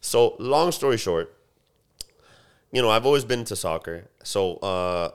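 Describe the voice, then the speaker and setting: A young man with a deep voice, chatting loosely as a podcast guest with lots of fillers and restarts. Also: expressive, unhurried, smooth. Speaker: podcast guest, soccer field owner. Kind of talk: casual podcast chat.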